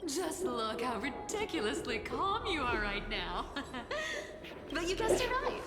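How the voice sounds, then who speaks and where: A woman's voice: sits high, big pitch swings, a little slow. An actress playing a sly, cocky demigod woman of trickery in an anime-style video game, teasing and smug. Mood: gleeful, mocking. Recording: loud background music, slight reverb.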